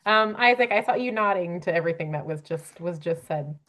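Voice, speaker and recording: Low-pitched woman hosting a panel. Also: expressive, fairly quick, bright, forceful tone. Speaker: panel host. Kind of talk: hosting a conversational panel discussion.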